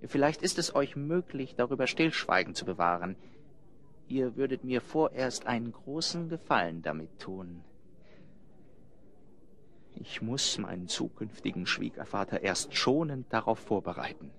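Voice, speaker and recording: Secretive young bridegroom type, audio drama: medium pitch, courtly, formal, mannered. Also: male voice, animated, a little slow, fairly bright tone. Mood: polite, confiding. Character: smooth-talking, secretive young gentleman bridegroom. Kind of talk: German children's audio drama acting.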